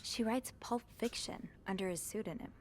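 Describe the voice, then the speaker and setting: An actress playing an inquisitive young hotel maid in a video game, thoughtful and gently playful. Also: female voice, medium pitch, normal speed, in a whisper, lightly acted. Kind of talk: game voice acting.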